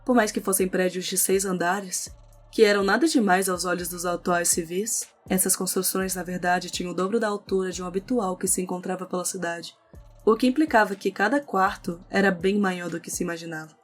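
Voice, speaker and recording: A woman's voice: lowish voice, fairly even intonation, brisk. Brazilian woman narrating over music. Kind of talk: scripted audio novel narration. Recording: music under the voice.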